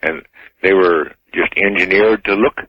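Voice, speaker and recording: Low, raspy American male caller. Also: measured pace. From Anchorage, Alaska, United States. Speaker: radio call-in listener. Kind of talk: casual chat. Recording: muffled, roomy phone call on radio.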